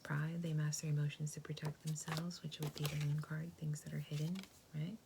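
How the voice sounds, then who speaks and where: A woman giving a tarot reading in a soft, gentle, reassuring whisper. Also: deep voice, level tone, fairly quick, vocal fry, background noise. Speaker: tarot reader.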